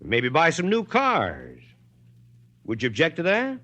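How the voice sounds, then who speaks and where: A muffled old 1940s radio recording carries an easygoing small-town American mayor. He is folksy and drily stubborn, reasoning things out in a lively, swinging voice. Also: on the high side, a little slow, full character voice. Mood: calm, wry.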